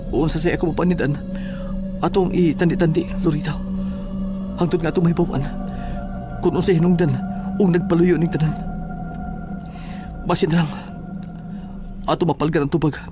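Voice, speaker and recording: A man's voice: a little high, lightly acted. A man playing an earnest, worried young son in a horror audio drama. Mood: resolute, conspiratorial.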